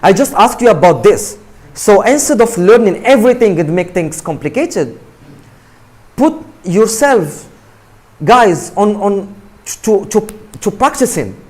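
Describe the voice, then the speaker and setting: A man from Morocco gives an emphatic motivational talk to students in English. His voice sits fairly high and swings widely in pitch. He repeats words and keeps asking his listeners "right?". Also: big pitch swings, measured pace, darkish tone. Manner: emphatic, repetitive, asks "right?" often.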